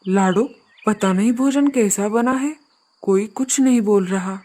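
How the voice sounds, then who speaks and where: A polite male crow innkeeper from a children's animal cartoon, voiced by a woman in a middle pitch. He talks earnestly and courteously, humble and full of gratitude. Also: a little slow, dark tone, natural voice. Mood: worried. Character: polite, grateful male crow innkeeper Kalu and bird guests. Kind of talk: Hindi children's cartoon story voice acting.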